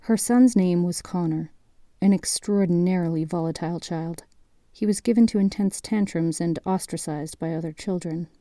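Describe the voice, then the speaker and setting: A woman narrating an audio drama in a measured, literary storytelling manner. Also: fairly low pitch, dark tone, no room sound, clean studio sound.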